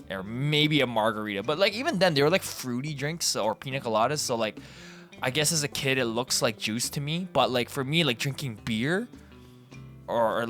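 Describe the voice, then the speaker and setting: A man's voice: mid-range voice, a bit bright. A Canadian man hosting a casual, rambling commentary, informal and digressive, often correcting himself as he goes. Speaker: video host. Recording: background guitar music. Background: guitar music.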